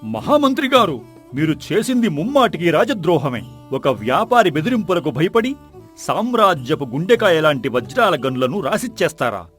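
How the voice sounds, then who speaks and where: A man's voice: on the high side, very animated, moderate pace, fairly bright tone, faint music behind. A man playing a fierce, impulsive army commander in a folk-tale drama, harsh and indignant.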